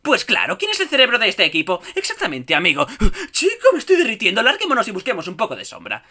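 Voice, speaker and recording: A man's voice: high-pitched, huge pitch swings, fast talker, pushed and bright, exaggerated voice. A man voicing a cocky, wisecracking little meerkat in a cartoon dub, with a Castilian Spanish accent. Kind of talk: cartoon fandub audition.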